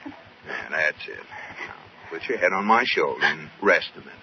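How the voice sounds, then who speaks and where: An American actor playing a wry, affectionate but exasperated husband in an old-time radio comedy. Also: male voice, a bass voice, expressive, moderate pace. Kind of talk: 1940s-50s radio comedy acting. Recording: noisy, muffled old radio recording.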